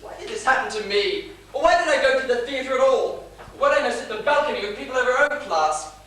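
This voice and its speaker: High-pitched, grovelling young clerk played by a woman, distant and echoey. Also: lively intonation, brisk, fairly bright tone. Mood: despairing. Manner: flustered, pleading, over-polite, stammering. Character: anxious, grovelling young male minor clerk, obsessively apologetic. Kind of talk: farcical amateur stage comedy. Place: small theatre stage, far from mic. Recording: distant, echoey, noisy theatre stage recording.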